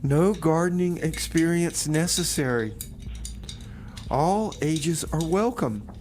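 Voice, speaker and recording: A man's voice: on the high side, slow pace, a little mellow. A man hosting a community radio show in a laid-back, informal way, with casual comic banter. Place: radio studio. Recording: faint noise on radio broadcast.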